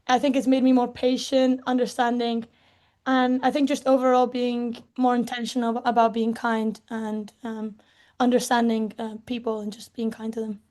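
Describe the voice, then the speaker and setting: A young woman from Spain and England shares her testimony. Her voice sits high and stays fairly even, and her manner is soft and reflective. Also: normal speed. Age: young adult. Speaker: student. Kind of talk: personal testimony.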